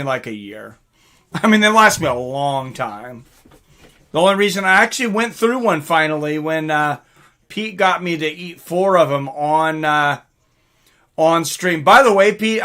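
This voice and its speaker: Man, livestream host, higher-pitched, bright forceful voice, casual, excitable, informal, reacting to chat.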